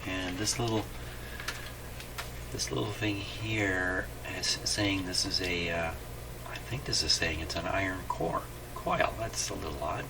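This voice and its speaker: A man's voice: sits low, unhurried. A man doing unscripted hands-on repair commentary, thinking aloud in a hesitant, puzzled way as he works through a problem. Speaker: radio repair host. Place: repair shop, voice away from the mic. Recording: distant mic, clear background noise.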